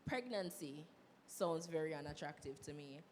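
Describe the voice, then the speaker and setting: A hoarse 21-year-old woman student speaking frankly and bluntly on a live panel. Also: sits low, expressive, normal speed, darkish tone. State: hoarse, a bit sick. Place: event hall, live audience. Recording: hall reverb with faint noise.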